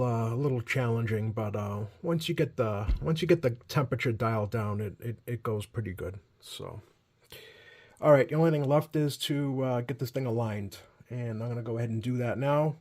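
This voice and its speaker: A man giving a casual, unscripted hands-on commentary as a hobbyist repairer, easygoing and halting as he thinks aloud. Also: mid-range voice, darkish tone. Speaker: radio repair hobbyist hosting a video.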